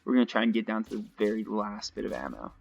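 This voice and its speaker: A young man casually commentating his gameplay nonstop, his voice a little raspy from long talking. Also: on the low side, very animated, quick pace, soft background music. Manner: chatty, rambling, nonstop talk with fillers. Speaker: let's play host. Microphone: home desk mic. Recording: dull lo-fi home mic.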